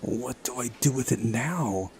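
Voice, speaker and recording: A man's voice: medium pitch, animated, a little slow, darkish tone, a little hiss or hum. A man hosting a visual novel playthrough, reading narration aloud and adding wry, casual asides. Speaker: video game playthrough host. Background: faint music.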